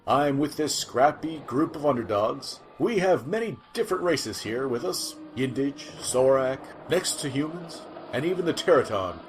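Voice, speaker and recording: A man plays an earnest reporter from a futuristic newscast in a sci-fi audio drama. His medium-pitched voice is animated and announcerly. Faint background noise runs under the report. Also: normal speed, hardly any pauses, lightly acted. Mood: earnest, curious. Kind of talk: news report parody, scripted narration.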